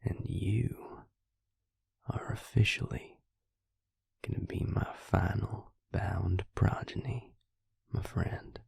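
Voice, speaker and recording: Audio roleplay role: devious vampire recruiter, played by a man. Slow, gravelly, breathy male voice, coolly menacing, close and dry. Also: deep voice, steady tone, a little mellow, no room sound, heavily acted. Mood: smug, explaining. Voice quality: husky, breathy, gravelly half-whisper. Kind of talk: intimate whispered audio roleplay, addressed to the listener. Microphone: close, dry microphone.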